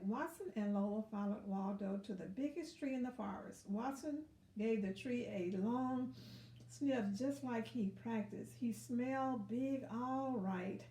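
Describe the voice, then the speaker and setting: Woman, high-pitched, unhurried, expressive children's read-aloud, encouraging, questioning, roomy echo. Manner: encouraging, asks young listeners questions. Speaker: storybook reader for children. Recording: echoey room sound.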